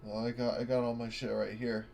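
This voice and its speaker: A man's voice: mid-range voice, little pitch movement, a little fast, creaky, a crowd in the background. A man playing a tabletop game over a voice call, rambling with many fillers and bursts of laughter. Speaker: player.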